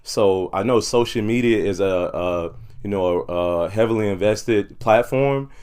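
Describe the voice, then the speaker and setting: A man with a low-pitched voice speaks as an entrepreneur being interviewed. He is talkative and conversational, padding his sentences with frequent "you know"s in a casual, unscripted way. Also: measured pace, a little mellow, clean tone. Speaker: podcast guest, entrepreneur.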